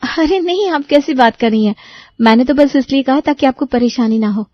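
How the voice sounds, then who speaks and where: Lady doing a flustered young bride cartoon voice, high, stammery and sorry, dry slightly muffled sound. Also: moderate pace, no room sound, close to an everyday voice. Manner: hesitant, apologetic, stammering. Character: meek, flustered young newlywed daughter-in-law Prema. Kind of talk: Hindi cartoon moral story voice acting.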